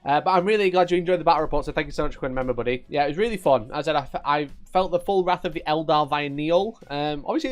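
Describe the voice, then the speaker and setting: A man with a Yorkshire accent, casually chatting at his desk on a live stream, reading viewers' messages, joking, teasing and laughing often. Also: on the high side. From Northern England.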